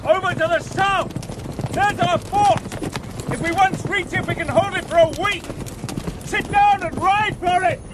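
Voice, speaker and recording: An actor playing an urgent horseman rallying his comrades, shouting excitedly in an audio drama. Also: extremely high pitch, a little slow, pauses often, noisy background.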